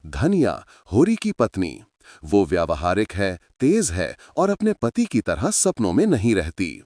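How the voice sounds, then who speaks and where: A man's voice: on the low side, wildly swooping pitch, unhurried, a little mellow, no room sound, studio quality. A man narrating a story in a dramatic scripted style, speaking to his listeners as friends.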